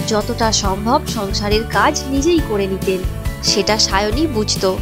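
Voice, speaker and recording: A woman's voice: medium pitch, measured pace. A woman reading scripted narration as the storyteller of a story. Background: music.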